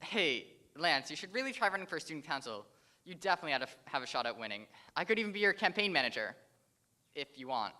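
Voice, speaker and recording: An awkward, eager nerd of a tutor, read by a young man, stumbling over his words with a bright, tense edge. His voice sits slightly high. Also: very animated, fast, exaggerated voice. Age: young adult. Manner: eager, hesitant, fumbling. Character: nerdy starstruck boy tutor, eager and awkward.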